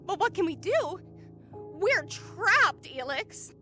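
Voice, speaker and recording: Actress doing scared woman stuck in an elevator, audio drama, slow delivery, music underneath. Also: very high voice, big pitch swings, heavily acted. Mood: nervous. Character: anxious young woman trapped in an elevator with a stranger. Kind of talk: audio thriller acting with first-person narration.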